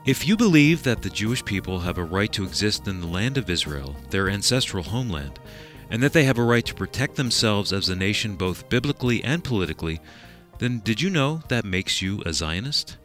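A man co-hosting a radio show, reading the introduction and a news segment smoothly from a script. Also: on the low side, big pitch swings, a little fast, a bit bright, faint music behind.

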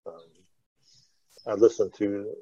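Man, middle-aged, lowish pitch, husky, informal storyteller, podcast host, dry close sound. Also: flat delivery, slow pace, dark tone, no echo. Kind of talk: casual reminiscing podcast talk. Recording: dull, lo-fi online call audio.

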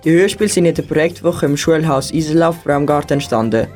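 A man's voice: a little high, brisk, darkish tone, faint music behind. A teenage boy co-presenting a scripted school radio programme. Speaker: student presenter.

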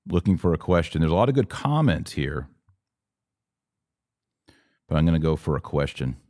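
A man's voice: extremely low pitch, very animated, normal speed, a little mellow, no echo, studio quality. A man hosting an informal religious Q&A, explaining things in a didactic way and answering his own rhetorical questions. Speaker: podcast host answering viewer questions. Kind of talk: live Q&A, informal religious teaching.

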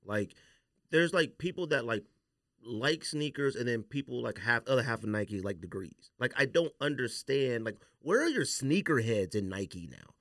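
A man's voice: medium pitch, animated, normal speed, a bit bright, no room sound, studio quality. A man chatting casually as a podcast host, slangy and opinionated in the way he talks. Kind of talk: casual chat.

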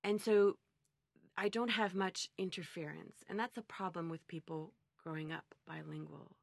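A woman's voice: fairly low pitch, fairly even intonation, normal speed, vocal fry, dry recording, clean studio sound. A middle-aged woman from Montreal, an interpreter answering interview questions in a reflective, conversational way, often reworking her sentences as she goes. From Montreal, Canada. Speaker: conference interpreter being interviewed.

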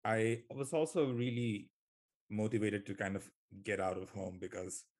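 Low-voiced thoughtful young man. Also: fairly even intonation, moderate pace, darkish tone. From Bangladesh. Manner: thoughtful, hesitant, with restarts. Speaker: graduate student guest. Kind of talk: conversational podcast talk.

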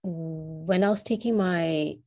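A young woman from Kenya with a slightly Americanized Kenyan English accent, speaking in a measured, reflective way as a guest in an interview. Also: on the low side, mellow. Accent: Kenyan English, partly Americanized. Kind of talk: personal interview.

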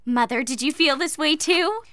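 An actress playing a joyful, excited young bride-to-be in a Bible audio drama. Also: female voice, high voice, moderate pace, dry recording.

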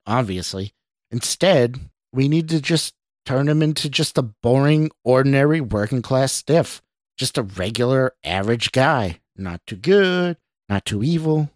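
A man voicing an excitable, smugly confident doctor with a coaxing, condescending manner in a story reading. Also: mid-range voice, no room sound, clean studio sound, lightly acted. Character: excitable, scheming male doctor, smugly confident mad scientist. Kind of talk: amateur dramatic story reading.

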